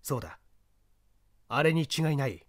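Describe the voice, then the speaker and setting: A man's voice: mid-range voice, big pitch swings, normal speed, no echo, studio quality. A man reading an audiobook, voicing a proud, iron-willed detective in a grave, declamatory manner. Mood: grave resolve.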